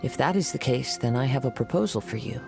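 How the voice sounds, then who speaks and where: An actor playing a calm, courteous young wandering samurai in a game, speaking in a formal, measured way with soft whispery moments. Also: male voice, medium pitch, creaky. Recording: game cutscene with music behind.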